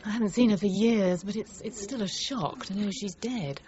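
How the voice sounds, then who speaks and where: An actress playing an articulate, guarded woman personnel manager who gives measured, discreet answers in a radio crime drama. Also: medium pitch, a little mellow, lo-fi sound, close to an everyday voice. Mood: wistful, measured.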